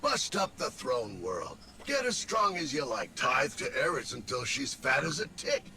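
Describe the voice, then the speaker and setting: A man's voice: medium pitch, sing-song, unhurried, sharp tone, a little hiss or hum, exaggerated voice. A man voicing a snide, resentful little robot companion to villains in a video game, sarcastic, brash and streetwise. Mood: mocking. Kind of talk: video game character acting.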